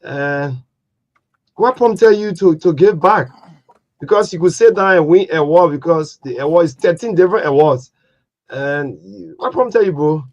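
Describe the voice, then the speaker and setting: A man's voice: a little high, lively intonation, unhurried, a little mellow. A man hosting a casual interview, often stammering into his questions and answering with "wow". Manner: stammering restarts, frequent "wow". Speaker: talk show host. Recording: dull lo-fi sound.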